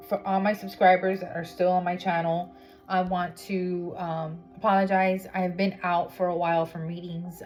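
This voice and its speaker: A low-pitched woman from Miami talks through a tarot reading in a loose, chatty way, often stopping to check in with her viewers. Music plays clearly behind her. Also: darkish tone. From Miami, United States. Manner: chatty, with many fillers and "okay?" check-ins. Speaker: tarot reader. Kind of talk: casual spiritual reading vlog. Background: background music.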